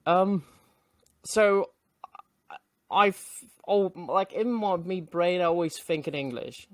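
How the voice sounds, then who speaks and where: A man whose first language is Norwegian, hosting an interview in a thoughtful, slightly halting way. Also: high voice, no room sound, studio quality. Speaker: host. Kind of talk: casual conversation.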